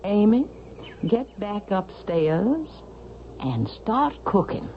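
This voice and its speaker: An actress playing an imperious, suspicious elderly widow with a haughty, deliberate, sharp-tongued delivery in a 1970s radio drama. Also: female voice, medium pitch, sing-song. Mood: commanding, icy. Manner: haughty, deliberate, pointed questioning. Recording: dull, hissy vintage radio recording.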